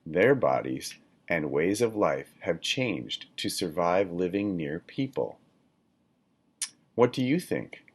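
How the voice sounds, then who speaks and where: Slow, deep-voiced man reading aloud. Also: husky. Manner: deliberate, instructional, poses questions to listeners. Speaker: read-aloud reader, teacher. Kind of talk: children's nonfiction read-aloud.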